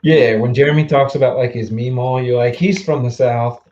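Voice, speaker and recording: A man in his forties from the Mid-Atlantic US, hosting a casual livestream chat, easygoing and quick to laugh, with lots of fillers. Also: medium pitch, fairly even intonation, a little mellow, lo-fi sound. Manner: chatty, laughs easily, trails off with fillers. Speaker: livestream host.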